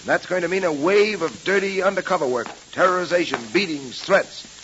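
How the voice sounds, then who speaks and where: A man's voice: high voice, moderate pace, lightly acted. An American man playing a resolute, principled police detective in a 1930s radio serial, with earnest, formal phrasing. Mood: determined. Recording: muffled, hissing old radio recording.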